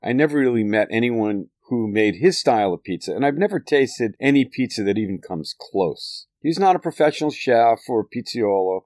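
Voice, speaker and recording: A man from the United States telling nostalgic family stories in a fond, lively way, chuckling now and then. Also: medium pitch, moderate pace, few pauses, no echo, clean studio sound. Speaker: storyteller. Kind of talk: nostalgic personal narration.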